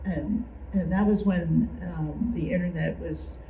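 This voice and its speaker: A mellow, mid-pitched speaker joins a group discussion with a personal memory, tentative and hesitant. The recording is roomy and a little dull, with faint noise. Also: steady tone, a little slow. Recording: echoey, slightly dull room recording.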